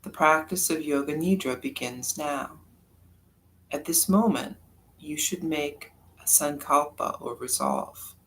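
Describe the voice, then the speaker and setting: Slow, calm guided meditation voice. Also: mid-range voice, a little hiss or hum. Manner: calm, unhurried, soft-spoken, with long pauses. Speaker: yoga nidra teacher.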